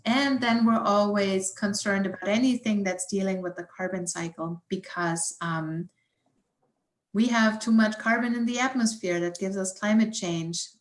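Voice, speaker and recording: A woman's voice: mid-range voice, measured pace. A woman with a German accent, a native German speaker, explaining things informally as a guest scientist answering questions. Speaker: guest environmental scientist and researcher. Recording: roomy, echoey sound.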